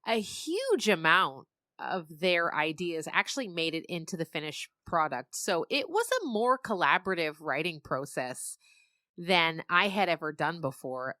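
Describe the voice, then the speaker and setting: A woman's voice: on the low side, very animated, a little slow, pushed and bright, dry recording, clean studio sound. A middle-aged woman talking reflectively and with animation about her creative work in a conversational interview. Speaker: novelist and podcast creator being interviewed.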